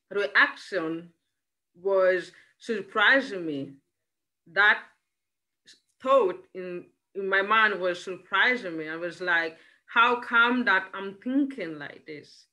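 A woman with an Italian accent talks through a laptop microphone at a very unhurried pace. Her tone is bright and a little tense, and she thinks aloud, pausing to ask herself questions. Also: medium pitch, low-quality recording. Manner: slow and reflective, posing questions to herself. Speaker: panel speaker. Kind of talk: reflective talk on a video call. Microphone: laptop mic on a video call.

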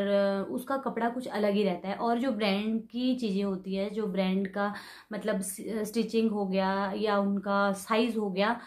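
A woman in her thirties talks through a shopping haul in a casual, explanatory way, holding clothes up to the camera as she goes. Her voice sits at a middle pitch. The room around her is noticeably echoey. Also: a little mellow. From Himachal Pradesh, India. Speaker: vlogger. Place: bedroom at home, indoors. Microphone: camera in front, medium framing.

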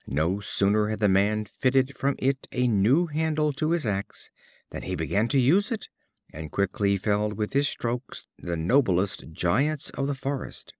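Male audiobook reader for fables: unhurried, animated intonation, expressive storytelling. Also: lowish voice, dry recording, studio quality. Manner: measured, expressive storytelling delivery. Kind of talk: scripted storybook narration of fables.

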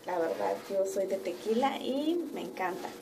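A young woman from Mexico with a Mexican accent, chatting casually and a little haltingly to camera in her living room. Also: medium pitch, animated, vocal fry. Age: young adult. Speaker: vlogger. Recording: distant mic, noisy room ambience.

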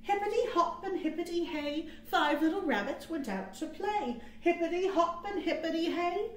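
An American woman in her thirties hosting storytime for young children, with sing-song, drawn-out phrasing, recorded indoors on a webcam mic. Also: very high-pitched. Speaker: children's librarian, storytime host. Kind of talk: storytime read-aloud and counting rhymes for children. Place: small quiet room indoors. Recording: roomy webcam sound.

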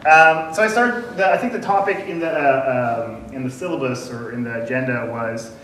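A friendly man in his thirties gives a relaxed conference talk, filling pauses with ums and questions to the audience. He is heard from a distance in a large room with a noisy echo. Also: medium pitch. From Canada. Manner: friendly, chatty, many ums and uhs, asks the audience questions. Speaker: conference speaker. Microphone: room mic from far off. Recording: echoey room audio from far off, noisy.

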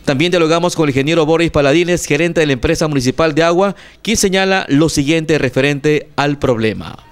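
An Ecuadorian man reads a local news report in a formal, even delivery. His voice sits slightly high for a man. The narration is clean, with nothing behind it. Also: brisk, clear, resonant tone. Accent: Ecuadorian Spanish.

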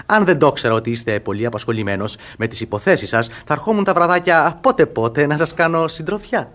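An actor playing an ardent young gallant in a classical radio comedy, speaking in an effusive, courtly, theatrical way. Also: male voice, on the high side, animated, a bit bright. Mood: ingratiating.

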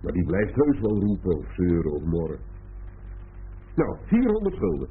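A man's voice: low voice, wildly swooping pitch, moderate pace, breathy edge, full character voice. A man playing a sardonic, weary middle-aged father in a radio drama, with dry, teasing irony. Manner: dry, ironic, hesitant asides. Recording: muffled narrowband old radio recording, faint noise.

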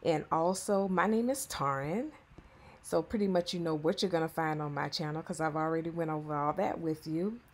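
Woman, 50s, cleaning vlogger, casual voice-over, chatty, affectionate, fairly low pitch. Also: normal speed, a little hiss or hum. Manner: chatty, affectionate, addresses viewers as y'all.

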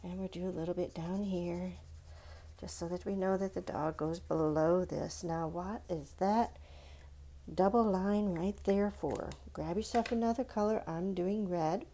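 Slow-paced woman teaching children to draw. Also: on the low side, mellow. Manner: simple, encouraging, instructional, asks the listener questions. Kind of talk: step-by-step art tutorial for young children.

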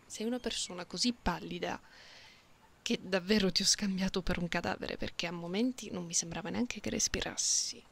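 A woman voicing a playful, caring young catgirl in an intimate, soft ASMR roleplay. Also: on the low side, gravelly, breathy edge, exaggerated voice. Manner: soft, unhurried, intimate, sometimes whispery. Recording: binaural close mic, audible background noise.

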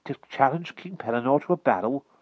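A man's voice: mid-range voice, animated, fairly quick, dry recording, highs cut off, voice pushed up, natural voice. A man voicing an eager, courteous young boy, a magician's pupil in a storybook, with earnest politeness. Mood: curious.

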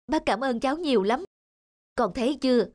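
A woman voicing a wise, caring mother chameleon in an animated fairy tale, soothing and moralizing. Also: sits high, a little fast, noisy background. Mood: gentle, admonishing. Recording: music under the voice.

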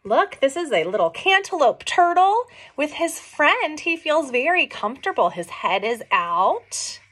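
A middle-aged woman reading a picture book aloud to young children, expressive and questioning, explaining things simply as she goes. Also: sits high, measured pace. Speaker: read-along storyteller for young children. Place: small room at home.

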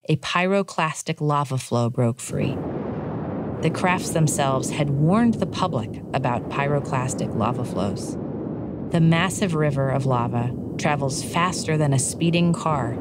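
A woman with a low voice narrates a scripted story for children. Her delivery is measured and clearly articulated, and soft music plays behind her.

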